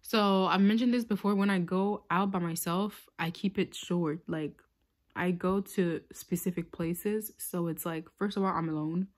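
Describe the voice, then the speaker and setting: A young woman from Tanzania chats into a phone held close in a small room, winding through a personal story with endless "like"s and restarts. Her voice sits a little low for a woman, and she speaks in a relaxed, offhand way. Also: moderate pace. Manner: rambling, lots of "like" fillers. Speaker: vlogger. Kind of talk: casual storytime chat.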